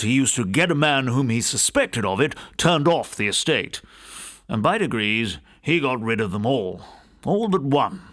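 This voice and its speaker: Man playing a rambling English gentleman storyteller, lively pitch. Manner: rambling, conversational, genteel recollection. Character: talkative English gentleman scholar, Green, recounting local gossip.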